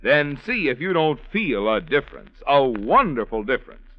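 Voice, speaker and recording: A man's voice: medium pitch, big pitch swings, moderate pace, no room sound. A man reading a 1940s radio commercial as an announcer, emphatic and salesmanlike. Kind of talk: 1940s radio advertising read. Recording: dry, muffled old radio recording.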